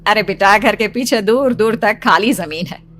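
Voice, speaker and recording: A woman voicing a caring middle-aged mother who gently scolds her grown daughter in a horror cartoon. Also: medium pitch, normal speed, a little hiss or hum, close to an everyday voice. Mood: gentle. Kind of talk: animated horror story dialogue.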